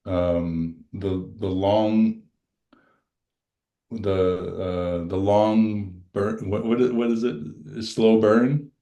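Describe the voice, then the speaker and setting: A middle-aged man from western New York, a musician guest, talking casually and haltingly with many restarts. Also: steady tone, mellow. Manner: deep-voiced, halting, restarts often. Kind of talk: casual chat.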